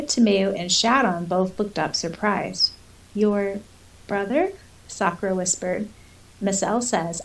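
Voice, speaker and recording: A woman's voice: medium pitch, gravelly, far from the mic, rough recording. A woman co-hosting a podcast in an informal, giggly way, moving between casual chat and reading story passages. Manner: giggly, informal, uses "like" often. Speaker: podcast co-host.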